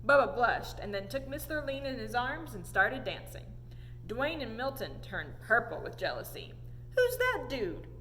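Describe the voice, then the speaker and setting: A woman reading a storybook aloud in an animated storytelling style. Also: fairly high pitch, moderate pace. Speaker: story time reader. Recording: echoey hall, audible background noise.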